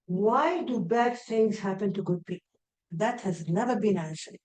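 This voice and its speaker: An older woman with an Israeli accent lectures in a mellow, warm voice. She works through her ideas step by step and often restarts a phrase before finishing it. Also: mid-range voice, a little slow, rough recording. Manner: explaining step by step, with frequent restarts. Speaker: astrology lecturer.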